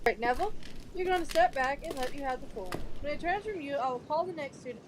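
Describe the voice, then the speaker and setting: A woman playing a calm, encouraging male teacher of magic in an amateur audio drama, gently giving instructions. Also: high-pitched, fast talker, crowd noise. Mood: instructive. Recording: noisy home microphone recording.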